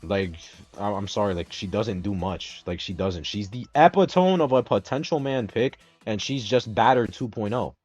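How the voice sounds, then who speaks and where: Ranting male streamer, profane and animated. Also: lowish voice, normal speed, hardly any pauses. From Dominican Republic. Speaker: streamer host. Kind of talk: casual gaming stream chat. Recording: slightly dull stream audio with music.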